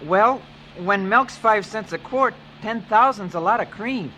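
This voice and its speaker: A performer playing an impatient, quick-witted boy in a 1970s radio drama, restless and droll. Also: medium pitch, sharp tone. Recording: engine noise behind the voice. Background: car engine noise.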